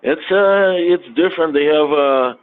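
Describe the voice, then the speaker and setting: Halting man on a tinny line. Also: on the high side. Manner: halting, many fillers and restarts. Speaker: podcast guest. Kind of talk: casual conversation. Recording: tinny phone-like sound, faint noise.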